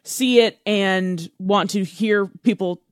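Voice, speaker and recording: A man's voice: high-pitched, slow, clear, resonant tone, no room sound, clean studio sound. A man co-hosting a podcast, chatting casually about movies with the other hosts. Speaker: podcast co-host.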